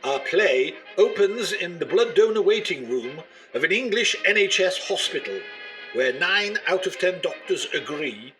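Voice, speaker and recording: A man playing a deadpan, formal BBC-style radio announcer introducing a comedy play. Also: sits high, measured pace, faint music behind, exaggerated voice, rough recording. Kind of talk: scripted broadcast announcement.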